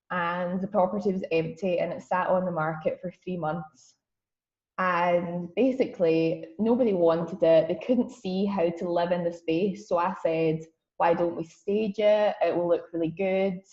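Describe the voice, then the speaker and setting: A woman's voice: lowish voice, a little mellow. Twenty-something scottish girl, broad accent, chatty webinar talk, lots of ums, echoey muffled call audio. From Scotland. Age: 20s. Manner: friendly, chatty, many ums. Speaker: guest speaker. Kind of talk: webinar presentation. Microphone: video call.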